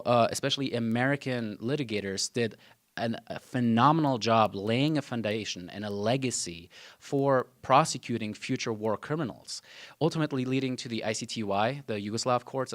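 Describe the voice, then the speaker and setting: A man's voice: mid-range voice, a little fast. A man in his twenties, from Germany, giving a university talk with slides as a law student, with frequent "um" and "uh" fillers and casual asides. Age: 20s.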